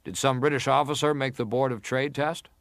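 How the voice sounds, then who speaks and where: An actor playing a formal, insistent senator who questions a witness deliberately in a dramatised hearing. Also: male voice, mid-range voice, fairly even intonation, a bit bright, no room sound, clean studio sound, close to an everyday voice. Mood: inquisitive, stern. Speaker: actor reading an examining senator. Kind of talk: dramatised reading of hearing testimony.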